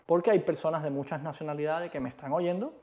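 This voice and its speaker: A man from Cuba with a Cuban Spanish accent, co-hosting a casual chat in an emphatic, animated way. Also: fairly high pitch, fast talker. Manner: emphatic, animated, repeats rhetorical questions. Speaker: co-host.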